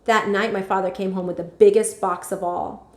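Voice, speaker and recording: A woman narrating a children's story aloud like a teacher, expressively and with clear phrasing. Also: medium pitch, level tone, normal speed. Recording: echoey room.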